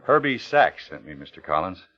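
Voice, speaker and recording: An American actor in middle age playing an anxious, coolly polite killer, narrating in clipped, intense phrases in old radio drama style. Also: male voice, low-pitched, very animated, moderate pace, a bit bright. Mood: guarded, polite. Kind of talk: 1940s-50s radio suspense acting, narrating. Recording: muffled old radio recording, organ music behind.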